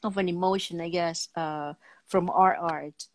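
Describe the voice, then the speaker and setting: A middle-aged woman hosting a casual interview, speaking in halting, fragmentary phrases. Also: lowish voice, steady tone, dry recording. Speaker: talk show host. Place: indoor room, video call.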